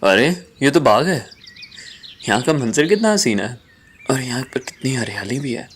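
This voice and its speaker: A man's voice: medium pitch, big pitch swings, moderate pace, a little hiss or hum, natural voice. A man playing a cautious, bossy young male university student in an amateur audio drama, with an emphatic, dramatic delivery. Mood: delighted.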